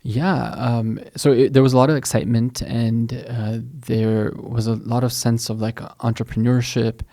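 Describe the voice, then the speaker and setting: Soft-spoken man, flat gravelly voice. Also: mid-range voice, moderate pace. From United States. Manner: soft-spoken, hesitant, many restarts. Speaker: guest scholar. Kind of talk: casual podcast interview. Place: mosque studio.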